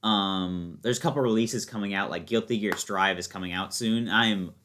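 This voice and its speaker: A man's voice: lowish voice, moderate pace, fairly bright tone, slight background noise. A man in a casual conversation as a podcast guest, enthusiastic and opinionated, talking in slangy everyday language. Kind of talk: casual chat about video games.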